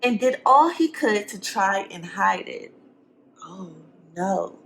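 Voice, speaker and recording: A woman's voice: mid-range voice, animated, slow, gravelly, a bit bright, slight background noise, rough recording. A woman teacher reading a storybook aloud to young students, expressively, with simple encouraging questions and asides for the children.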